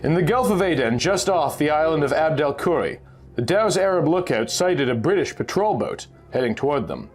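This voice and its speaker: A man's voice: on the high side, very animated, normal speed, soft background music. A man narrating a scripted adventure story in a theatrical, suspenseful storytelling manner. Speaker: narrator reading a pulp magazine story.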